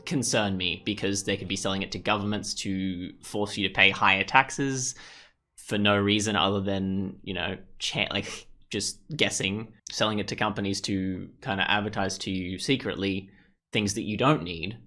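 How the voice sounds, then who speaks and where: A man's voice: fairly low pitch, steady tone, normal speed, pushed and bright. A man giving casual gameplay commentary, rambling as he thinks aloud. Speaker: gaming video host. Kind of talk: casual game walkthrough commentary.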